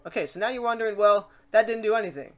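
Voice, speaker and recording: A woman hosting a casual software tutorial, talking through each step aloud in an informal, playful way. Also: mid-range voice, quick pace. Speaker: tutorial host.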